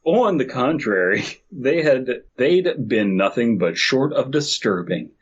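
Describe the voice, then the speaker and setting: A man's voice: mid-range voice, sing-song, a little slow, husky, highs cut off. A man co-hosting a podcast on a remote call, joking, swearing and breaking into laughter as he reads fan fiction aloud in casual mock fashion. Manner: jokey, profane, breaks into laughter. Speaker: podcast co-host.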